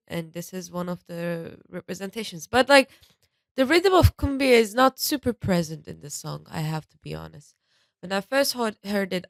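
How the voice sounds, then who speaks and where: A woman's voice: on the low side, clean tone, dry recording. A woman speaking casually as a panelist on a podcast, in a conversational way. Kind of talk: casual chat.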